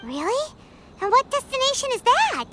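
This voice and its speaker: A woman voicing a tiny childlike fairy companion in a video game, squeaky, wide-eyed and full of questions. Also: sing-song, normal speed, pushed and bright, slight background noise, full character voice. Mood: curious. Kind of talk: cartoonish video game voice acting.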